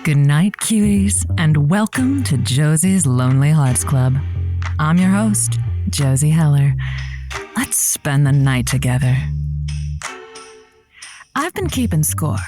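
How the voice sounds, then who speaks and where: An actress playing a late-night radio host, sharp-tongued and teasing, with music behind her. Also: deep voice, expressive, slow pace, long pauses. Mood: sultry on-air. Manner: indignant and teasing, sultry on air. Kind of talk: audio drama acting.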